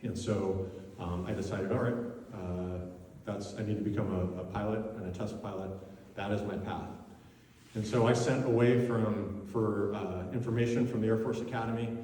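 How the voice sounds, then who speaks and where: A man's voice: lowish voice, steady tone, warm tone, a little hiss or hum. Man telling his story, lots of ums and restarts, far off the mic in a big hall. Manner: halting, many fillers and restarts. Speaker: speaker giving a presentation. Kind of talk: personal talk about faith and career.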